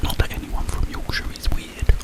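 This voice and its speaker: A man hosting ASMR, rambling through a story in a hushed, halting delivery with long pauses. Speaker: ASMR host. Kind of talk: whispered ASMR ramble, retelling a film.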